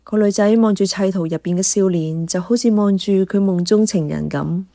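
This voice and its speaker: A woman's voice: mid-range voice, moderate pace, warm tone, no room sound, clean studio sound, lightly acted. A woman narrating a radio drama in the first person as an observant café worker, in a scripted storytelling style. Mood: intrigued.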